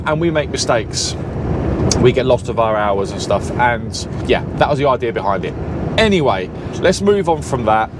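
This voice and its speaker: Chatty Southern English lorry driver. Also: male voice, mid-range voice. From Southern England. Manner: chatty and rambling, often correcting himself. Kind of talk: casual vlog chat while driving. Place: lorry cab on the road. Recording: loud engine and road noise. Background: lorry engine and road noise.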